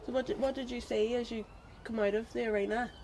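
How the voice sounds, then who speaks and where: A woman's voice: fairly high pitch, steady tone, fast, darkish tone, a little hiss or hum. A woman working as an interviewer, putting questions in an informal, conversational way.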